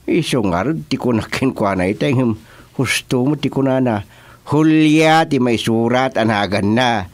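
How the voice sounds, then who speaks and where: A male actor with an Ilocano accent playing an excitable, joking village senior in a rural comedy radio drama. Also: medium pitch, normal speed, lightly acted. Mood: teasing, amused. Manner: teasing, animated, colloquial.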